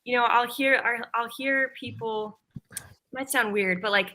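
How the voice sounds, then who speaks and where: A woman's voice: on the high side, measured pace, bright, forceful tone. A woman talking casually as a voice actor on a panel, earnest and emphatic in an informal way. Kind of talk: casual roundtable discussion.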